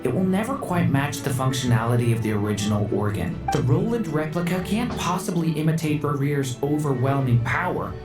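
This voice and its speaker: Deep-voiced analytical woman scientist. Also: animated, brisk, hardly any pauses, echoey room, over music. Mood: assured. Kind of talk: video game voice acting.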